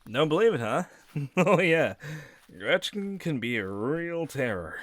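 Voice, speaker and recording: An actor playing a friendly young male werewolf who shows a silent listener around his pack's home, easygoing and quick to laugh. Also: on the high side, sing-song, no room sound. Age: young adult. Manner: easygoing, laughs often, answers unheard questions. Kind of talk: audio roleplay to a silent listener. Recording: dry close recording.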